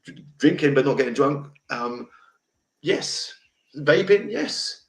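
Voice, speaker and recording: A middle-aged man with a deep voice hosts a casual livestream talk, blunt, emotional and rambling. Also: lively intonation, takes it slowly, gravelly. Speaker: host. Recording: echoey room.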